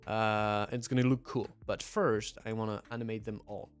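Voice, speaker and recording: A man's voice: fairly low pitch, level tone, normal speed, clean tone, soft background music. A young man with a French accent chatting casually on a livestream, hesitant and full of fillers and restarts. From southwest France. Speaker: streamer. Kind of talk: casual livestream chat, reading chat aloud.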